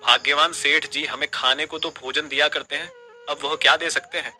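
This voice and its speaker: A man's voice: on the high side, fairly even intonation, fairly quick, bright, forceful tone. A man playing a humble, dutiful servant and husband in a cartoon moral story, speaking earnestly and politely. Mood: puzzled. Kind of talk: animated moral story dialogue.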